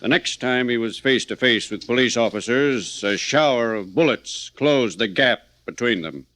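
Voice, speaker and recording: A man's voice: mid-range voice, lively intonation, normal speed, a bit bright, natural voice. A man playing an authoritative, matter-of-fact police chief in scripted 1940s radio narration.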